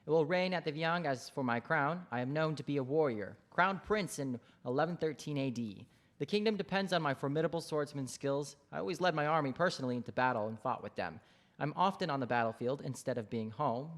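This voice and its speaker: Stage play read: male actor as proud Khmer king, warrior and ruler, medium pitch. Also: natural voice.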